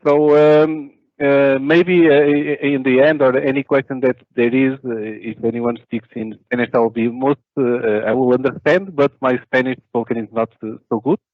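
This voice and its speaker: A man's voice: mid-range voice, fairly even intonation, moderate pace, dry recording. A man from Portugal with a Portuguese accent, in his thirties, giving a talk at an online conference over a webcam, with many filler uhs and restarts. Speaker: conference speaker. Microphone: video call webcam. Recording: dull dry webcam audio.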